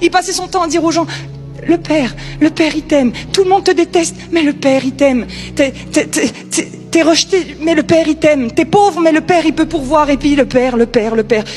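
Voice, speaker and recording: A woman's voice: sits high, moderate pace, a little mellow, soft background music. A woman preacher giving an informal sermon in a conversational, emphatic way, repeating key phrases.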